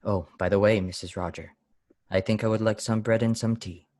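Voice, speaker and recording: A man playing a strange, pious and weary lodger in a radio play, solemn, deliberate and brusque. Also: fairly low pitch, steady tone, a little mellow, no room sound, natural voice. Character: strange, weary, severe pious lodger, brooding and easily angered. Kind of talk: old-time radio play acting.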